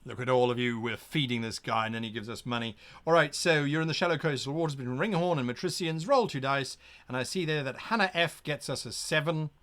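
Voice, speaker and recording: A man narrating a gamebook as game master on a live stream, with lively asides and pirate growls. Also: mid-range voice, fairly quick, few pauses.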